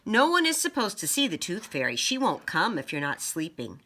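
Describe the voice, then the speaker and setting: A woman's voice: lowish voice, huge pitch swings, moderate pace, pushed and bright, full character voice. A woman voicing a bossy, knowing little girl in a children's storybook, speaking in a firm, matter-of-fact way.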